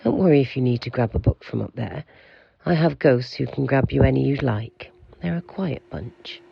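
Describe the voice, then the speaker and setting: A man playing an aloof, pompous keeper of an institute for monsters in an audio drama, speaking in a formal, measured, curt way with a gravelly, husky voice. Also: mid-range voice, animated, no echo, muffled recording, full character voice. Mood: formal, informative.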